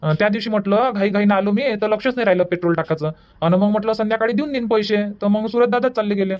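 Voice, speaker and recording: Someone voicing a fast-talking young village man. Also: medium pitch, muffled recording, natural voice. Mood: explaining. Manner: apologetic, hesitant, starts lines with "uh". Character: polite young village man borrowing a bike.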